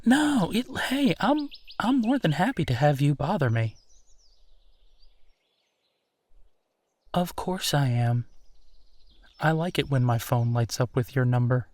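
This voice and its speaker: A man playing an easygoing, affectionate werewolf boyfriend in an intimate audio roleplay, speaking earnestly and haltingly. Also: fairly high pitch, very animated, darkish tone, no room sound. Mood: teasing, fond. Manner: hesitant, chuckling, earnest, halting phrasing. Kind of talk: intimate boyfriend roleplay, naturalistic one-sided conversation.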